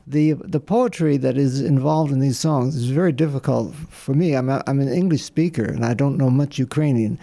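A museum board member talks slowly and haltingly, with a gravelly, fry-laden voice. His tone is very dark and mellow, and he pauses often to search for words. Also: mid-range voice. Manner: halting, with many fillers. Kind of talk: casual explanation on a tour.

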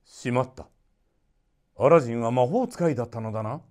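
A man's voice: mid-range voice, a little fast, a little mellow, close to an everyday voice. A man voicing an older, imperious king and doting father in a storybook, regal and emphatic. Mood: angry.

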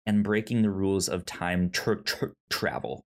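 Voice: low-pitched, steady tone, normal speed. A podcast co-host chatting casually about film, often stammering and repeating syllables and words. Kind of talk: casual film review chat.

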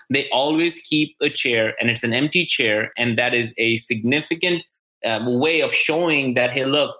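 A man's voice: mid-range voice, bright, forceful tone, poor audio. A man hosting a business podcast, talking casually and enthusiastically, often repeating words as he goes. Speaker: podcast host.

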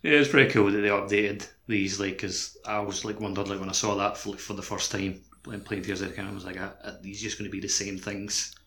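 A man's voice: on the low side, steady tone, fast talker, few pauses, sharp tone. A man co-hosting a podcast, chatting casually about video games. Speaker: podcast co-host. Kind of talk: casual video game discussion.

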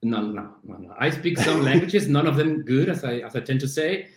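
Spanish-speaking man, halting, roomy sound. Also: mid-range voice, animated. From Argentina. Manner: halting, many restarts and fillers, laughs at himself. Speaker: podcast guest, CrossFit coach. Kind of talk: casual interview chat.

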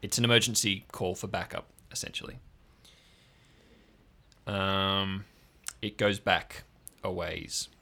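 Stern female ranger boss, performed by a man, blunt and commanding, forceful edge, improvised audio drama. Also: low voice, takes it slowly, gravelly, long pauses, voice pushed down, heavily acted. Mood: guarded, conceding. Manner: abrupt, firm, authoritative.

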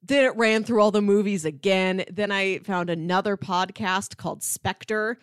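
A woman's voice: mid-range voice, a little slow, clean tone, no room sound, studio quality. A woman co-hosting a movie podcast, talking casually in a conversational, wry and joking way.

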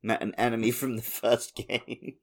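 A man's voice: mid-range voice, flat delivery, moderate pace. A man co-hosting a podcast, chatting informally and telling everyday anecdotes. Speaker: podcast host. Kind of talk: casual chat, anecdotes.

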